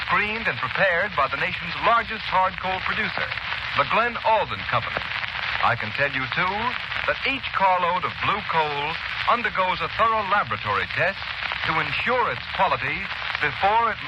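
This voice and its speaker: Vintage radio pitchman, male, polished and animated, reading sponsor copy over very loud static. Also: lo-fi sound. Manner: polished salesman delivery. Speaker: announcer. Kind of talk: 1930s radio sponsor advertisement. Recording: very noisy, crackly 1930s radio broadcast.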